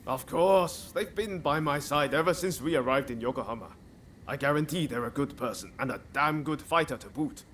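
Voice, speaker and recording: A man's voice: fairly high pitch, moderate pace, a bit bright, a little hiss or hum, natural voice. A man playing an easygoing, idealistic samurai hero in a video game cutscene. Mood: confident, encouraging.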